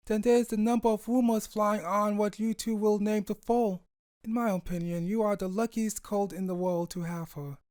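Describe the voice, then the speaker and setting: A man's voice: sits high, warm tone, dry recording, voice pushed up, natural voice. A man voicing a kindly, reassuring female pony friend in a storybook reading, earnest and affectionate. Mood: warm, teasing.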